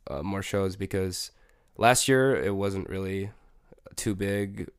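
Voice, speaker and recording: A man's voice: low-pitched, slow pace, clean tone, no room sound, studio quality. A young man, a music producer, chatting casually as an interview guest with a laid-back manner and many fillers. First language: Spanish.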